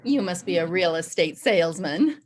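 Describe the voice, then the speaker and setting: Animated, inquisitive busybody housewife played by an actress. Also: female voice, fairly low pitch, normal speed, a little hiss or hum, lightly acted. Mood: nosy, bright. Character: forward, inquisitive suburban housewife, friendly busybody. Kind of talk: staged play reading. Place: video call.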